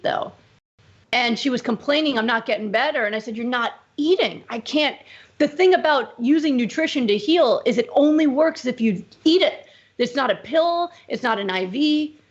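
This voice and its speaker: Emphatic woman doctor, muffled line. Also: a little high. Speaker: medical doctor, guest. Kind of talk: conversational interview.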